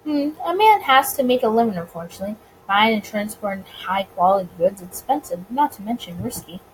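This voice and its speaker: A woman voicing a suave, playful male mobster in a visual novel, smooth, composed and teasing. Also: medium pitch, animated, brisk, a little hiss or hum, natural voice, low-quality recording. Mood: composed, businesslike. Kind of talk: visual novel character reading.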